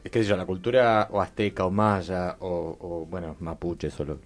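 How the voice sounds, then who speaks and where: A man's voice: low-pitched, fairly even intonation, normal speed. A man with an Argentine Rioplatense accent chatting casually as a panelist on a radio show. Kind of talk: casual conversation. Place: radio studio.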